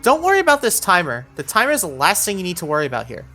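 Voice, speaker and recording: A woman's voice: on the low side, wildly swooping pitch, very fast, bright, forceful tone, faint music behind. A woman narrating an instructional video game walkthrough, giving encouraging step-by-step directions.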